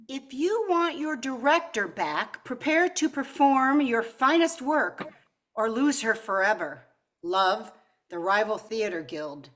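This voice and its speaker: A woman's voice: a little high, lively intonation, slow, a bit bright. An older woman playing an assertive, ambitious artistic wife with a dramatic, bossy manner in an amateur radio comedy. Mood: reading menacingly.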